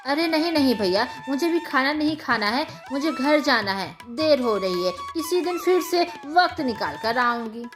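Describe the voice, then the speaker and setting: A woman playing a high-voiced haughty sister. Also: expressive, normal speed, hardly any pauses. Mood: hurried. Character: haughty, impatient, envious younger woman, a sister. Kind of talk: Hindi cartoon moral story acting. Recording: music behind the voice.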